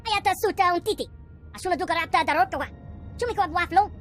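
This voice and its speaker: An actor voicing a small excitable alien creature in a video game, squeaky and babbling in a made-up tongue. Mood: agitated chatter. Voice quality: squeaky, cartoonish. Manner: rapid chattering babble. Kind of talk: video game character acting. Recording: music behind the voice.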